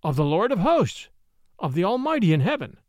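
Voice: medium pitch, very animated, a little fast, a little mellow, dry recording, natural voice. Narrator playing a blunt, pious American man. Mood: curt, reverent. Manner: blunt, clipped, earnest.

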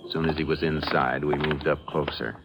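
An actor playing a tough, steady Texas Ranger in a 1950s radio western, with a curt, commanding, folksy manner. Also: male voice, very deep voice, fairly quick, background noise. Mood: narrating. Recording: muffled old 1950s radio recording.

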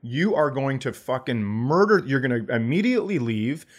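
A man's voice: mid-range voice, very animated, moderate pace. A man co-hosting a comedy podcast, riffing casually in a blunt, sardonic and profane way.

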